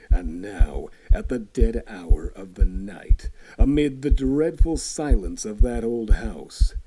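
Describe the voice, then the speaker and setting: Deep husky male horror storyteller. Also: low-quality recording. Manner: theatrical, shifting between hushed whispers and loud intensity. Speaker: narrator reading a classic horror story. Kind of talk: dramatic horror story reading.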